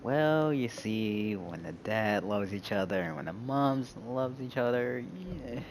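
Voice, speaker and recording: A man's voice: on the low side, expressive, a little slow, slight background noise. A young man giving casual, slangy reaction commentary over a video game, with joking asides. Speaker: gaming video creator.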